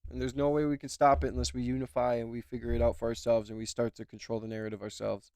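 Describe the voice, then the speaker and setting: A man's voice: medium pitch, flat delivery, fast, creaky, a little mellow, clear, resonant tone, no echo. A man commenting as a YouTuber, talking in a rambling, emphatic way and repeating himself. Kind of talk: casual reaction commentary.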